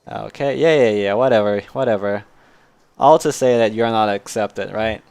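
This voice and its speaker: Gravelly-voiced man streaming a game. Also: lowish voice, moderate pace, darkish tone. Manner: chatty, laughs at own jokes, reads game text in a half-acting voice. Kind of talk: casual game playthrough, reading dialogue aloud.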